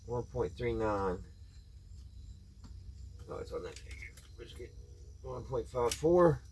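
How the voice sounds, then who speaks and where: A man's voice: medium pitch, lively intonation, slow pace, darkish tone, slight background noise. A man hosting a casual hands-on vlog, talking to viewers in a folksy way and thinking aloud as he works. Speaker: vlog host.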